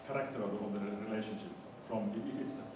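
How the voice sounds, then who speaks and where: A man giving an academic lecture in a halting, hesitant way, often repeating the starts of his words. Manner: hesitant, halting delivery with stammered word repetitions. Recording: very echoey room, distant mic, noisy rumble.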